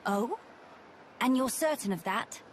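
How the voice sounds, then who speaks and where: A woman's voice: fairly high pitch, lively intonation, a little slow, slight background noise. A woman playing a poised, regal young warrior in a video game, formal and composed. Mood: concerned, questioning. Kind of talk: video game cutscene acting.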